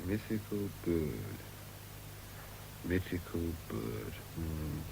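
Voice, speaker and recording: An actor playing a plain, kindly, awkward prison warder in a radio drama, with a hesitant, homely way of talking. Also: male voice, extremely low pitch, sing-song, gravelly, noisy background, full character voice. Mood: puzzled. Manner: hesitant, homely, slow to follow.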